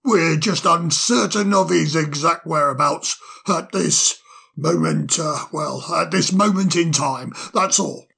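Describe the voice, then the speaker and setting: Man playing a nervous bumbling owl, stammers a lot, slow and gravelly, raspy. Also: a little high, sharp tone, full character voice. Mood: flustered. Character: timid flustered male owl underling. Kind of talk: storybook audiobook character voice.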